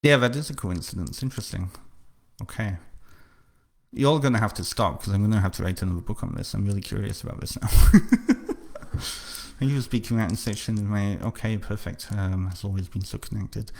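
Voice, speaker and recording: A man with a slight North Welsh accent, hosting a live book launch and answering viewers' comments in a halting, casual way with lots of fillers and repeated words. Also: on the low side, a little mellow. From North Wales.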